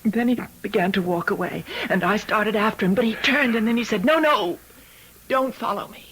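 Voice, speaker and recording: A woman's voice: medium pitch, moderate pace, fairly bright tone, breathy edge, low-quality recording. An actress playing a distraught, frightened young woman in an old-time radio mystery, breathless and melodramatic. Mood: shaken, remembering. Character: distraught, frightened young woman fearing she seems mad.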